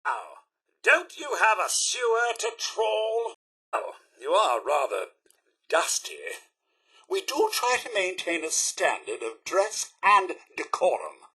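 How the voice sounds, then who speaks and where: An actor playing a prim, loyal and fussy robot butler in a video game, with a British accent and pompous, exaggeratedly polite diction. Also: male voice, high-pitched, wildly swooping pitch, slow, pushed and bright, husky, exaggerated voice. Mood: haughty. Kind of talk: theatrical video game character acting.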